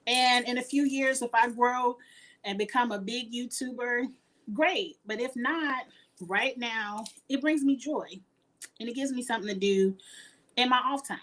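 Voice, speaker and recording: Chatty high-voiced woman vlogger. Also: measured pace, fairly bright tone. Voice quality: high-pitched voice. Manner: chatty, laughs often, earnest and encouraging. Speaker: YouTuber answering live chat. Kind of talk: casual chat with viewers.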